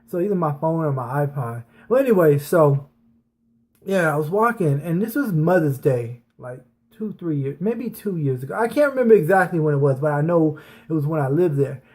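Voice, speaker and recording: A man's voice: on the high side, expressive, moderate pace, a little mellow, slightly rough. A man telling personal stories to his viewers in an informal, rambling, slangy way, with some swearing. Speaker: online storyteller, channel host. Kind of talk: casual personal storytelling to viewers.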